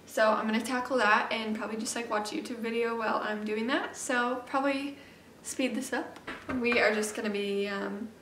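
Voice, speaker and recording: A woman's voice: a little high, fairly even intonation, normal speed, a bit bright, slight background noise. A woman chats casually to camera as a homemaking vlogger, informal, rambling and self-deprecating. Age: 20s. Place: home kitchen, indoors. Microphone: camera microphone, about arm's length or further. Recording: echoey open kitchen room.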